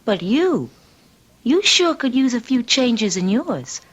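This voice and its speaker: An actress playing a defiant, streetwise but soft-hearted teenage girl in old-time radio drama, brash and slangy. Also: a little high, big pitch swings, slow, husky, highs cut off, heavily acted.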